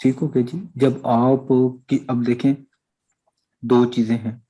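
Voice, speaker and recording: A Pakistani man lectures as a law teacher in a mellow, slightly husky voice. He moves slowly and deliberately through formal text and explanation, pausing to check that his listeners follow. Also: medium pitch, little pitch movement, rough recording. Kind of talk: online lecture, reading statute and explaining.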